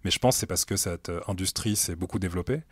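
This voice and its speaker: A man in his late thirties, a music director being interviewed, talks in a relaxed, thoughtful way with many hesitations in an office meeting room. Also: deep voice, fast, husky, no room sound. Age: late 30s. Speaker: music director at a streaming platform, guest. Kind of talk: casual conversational interview.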